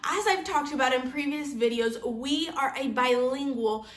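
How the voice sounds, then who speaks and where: A woman's voice: high-pitched, moderate pace, fairly bright tone, roomy sound. A woman in her thirties from Kansas, hosting a homeschool vlog and talking earnestly to camera. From Kansas, United States. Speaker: homeschool channel host. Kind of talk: casual vlog talk to camera.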